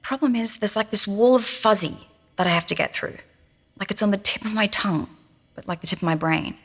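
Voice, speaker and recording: Husky, echoing frightened female captive. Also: mid-range voice, brisk. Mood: confused, straining. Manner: fretful, questioning herself, repeating. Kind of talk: found-recording audio drama, spoken journal. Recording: long reverberant room echo.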